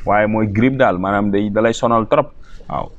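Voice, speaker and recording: Man, Senegalese accent, native Wolof speaker, slightly low voice, informal language teacher, repetitive, code-switching. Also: a little slow, lo-fi sound. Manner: repetitive, mixes languages while explaining. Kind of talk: informal vocabulary lesson, repeating and explaining phrases.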